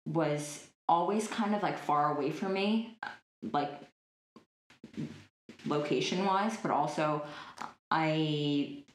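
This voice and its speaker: A woman's voice: sits low, takes it slowly, pauses often, a little hiss or hum. A woman in her twenties chatting casually as an interview guest, with lots of likes and ums. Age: 20s. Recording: roomy echo.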